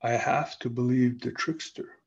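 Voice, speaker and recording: A man's voice: mid-range voice, fairly even intonation, unhurried, vocal fry, slightly rough, muffled recording. A man voicing a troubled young brave seeking his child in a folk tale, speaking earnestly. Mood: resigned. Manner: earnest, pleading, determined.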